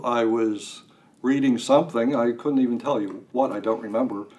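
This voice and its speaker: Older male interview guest, lowish pitch, halting casual talk with dry self-mockery. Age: 60s or older. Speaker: guest writer. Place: radio studio.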